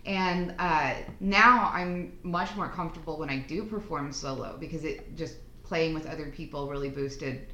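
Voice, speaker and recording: A woman with a deep voice, a singer-songwriter chatting frankly and casually on a podcast. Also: normal speed, hardly any pauses, echoey room, lo-fi sound. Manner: relaxed, frank, swears casually. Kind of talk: casual chat.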